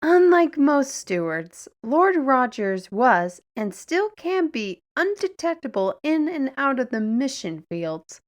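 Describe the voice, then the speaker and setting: Slow, high-voiced, sardonic fantasy guide played by a woman. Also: very animated, exaggerated voice. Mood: informative. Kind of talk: fantasy audio roleplay acting.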